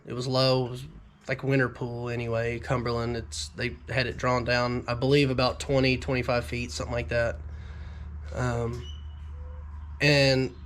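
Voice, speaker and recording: Guy fishing vlogger, young, nasal from being sick, mid pitch, rambling with ums, faint noise behind. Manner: rambling, frequent ums and restarts. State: getting over an illness, a little nasal. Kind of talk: casual talk to camera.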